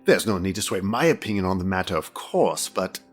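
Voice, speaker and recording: A man's voice: low-pitched, lively intonation, brisk, soft background music. Man acting a precise, pedantic senior inspector. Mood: measured, reasoning. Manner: precise, formal, pompous. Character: fastidious, analytical, pedantic male senior inspector. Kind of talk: audio drama acting.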